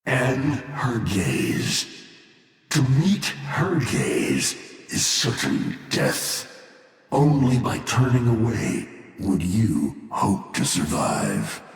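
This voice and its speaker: An actor playing an ancient, bitter, menacing machine warlord in a video game, speaking in a grandiose, sardonic, theatrical way. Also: male voice, lowish voice, heavily acted. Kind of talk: scripted video game villain monologue. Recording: cavernous echo, long reverb tail.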